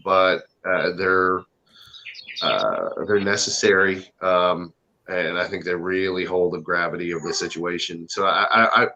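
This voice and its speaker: Bright-voiced man, halting, on a video call. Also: deep voice, muffled recording. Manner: hesitant, halting, searching for words. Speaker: stage play cast member, interview guest. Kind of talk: casual interview answer.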